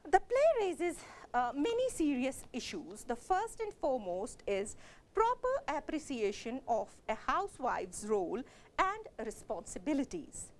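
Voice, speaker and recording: Lively Kashmiri woman lecturing. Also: sits high, unhurried. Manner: explanatory, with frequent fillers and self-corrections. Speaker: college lecturer. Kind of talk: literature lecture.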